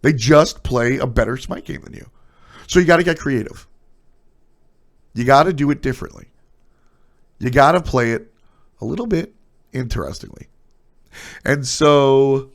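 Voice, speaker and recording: A man's voice: fairly high pitch, animated, a little slow, pauses often. A man with a stuffy, nasal voice streaming casual, blunt opinions on esports, trailing off into asides.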